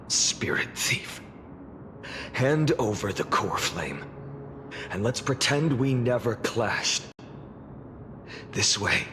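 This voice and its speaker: A man voicing a weary, menacing warrior in a video game, solemn and measured with breathy menace. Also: low voice, noisy background. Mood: cold menace. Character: grave, weary male warrior worn by countless cycles, menacing.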